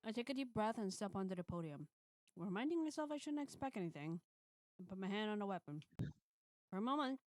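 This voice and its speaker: A woman's voice: medium pitch, very animated, fast, dry recording, rough recording. A woman reading a visual novel's first-person narration aloud on a stream, with casual asides.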